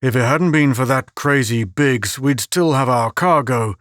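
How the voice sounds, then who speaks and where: A man's voice: mid-range voice, a little slow, fairly bright tone, dry recording, clean studio sound, close to an everyday voice. A man doing a weary harried captain. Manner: weary and anxious.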